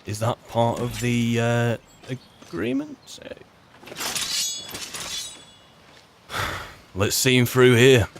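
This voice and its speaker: A man's voice: mid-range voice, very animated, very slow, gravelly, long pauses, noisy background. A man improvising in-character commentary as he roleplays through a video game, musing and wry as he chats.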